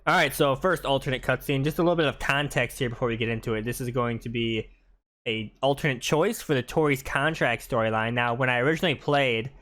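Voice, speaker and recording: A man's voice: medium pitch, a little fast, fairly bright tone. A man hosting a gaming video with casual, chatty commentary. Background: faint music.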